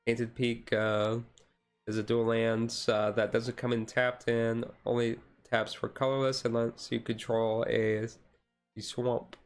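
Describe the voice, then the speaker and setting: Male streamer, casual and rambling hobbyist with a slightly tired voice, middle-pitched, over background music. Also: little pitch movement, measured pace, smooth. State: voice tiring. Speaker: streamer, card game hobbyist. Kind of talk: casual deck walkthrough, chill ASMR stream.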